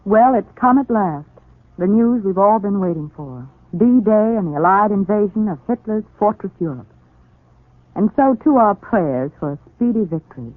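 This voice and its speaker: A woman's voice: medium pitch, expressive, normal speed, no room sound. Woman, earnest, solemn, scripted wartime radio announcement, tinny vintage sound. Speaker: radio spokesperson. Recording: tinny 1940s radio recording.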